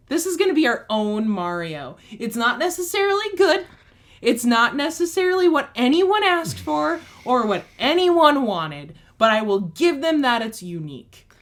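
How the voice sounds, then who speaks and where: A woman co-hosting a casual podcast chat, enthusiastic and opinionated, breaking into excited bursts. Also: a little high. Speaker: podcast co-host.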